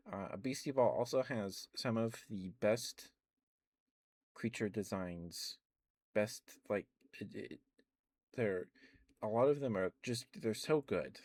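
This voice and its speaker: A man talking softly in an ASMR stream, rambling with many ums and restarts and now and then gushing with enthusiasm. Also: on the low side, dry recording. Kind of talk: soft-spoken ASMR rambling.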